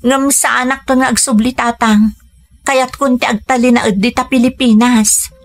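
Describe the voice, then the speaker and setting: An actress playing a dutiful, weary young Filipina daughter with an Ilocano accent, earnest and plaintive in a radio drama. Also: female voice, a little high, fairly even intonation, moderate pace. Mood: gentle, hesitant. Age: young adult.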